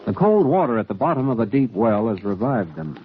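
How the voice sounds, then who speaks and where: An actor as a brisk announcer narrating an old-time Western radio serial. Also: male voice, mid-range voice, very animated, natural voice. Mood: dramatic. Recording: tinny old radio recording. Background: music.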